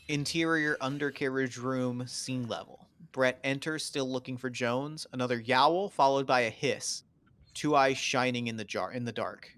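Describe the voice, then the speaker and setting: A man's voice: medium pitch, moderate pace, fairly bright tone. A man narrating stage directions at a script table read in terse, clipped, dramatic phrases.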